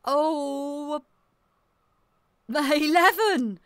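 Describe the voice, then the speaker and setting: A woman's voice: high voice, extremely slow, a bit bright, dry recording, heavily acted. An actress playing a frank, unimpressed nineteen-year-old Victorian island girl, blunt and matter-of-fact, in a period audio drama. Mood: bargaining, curious. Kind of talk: period radio drama acting.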